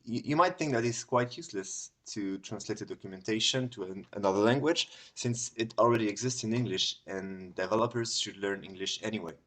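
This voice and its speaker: A man's voice: lowish voice, brisk, few pauses, a bit bright. French-accented man giving a tech talk. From France. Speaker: conference speaker. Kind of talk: prerecorded tech talk with screen tour.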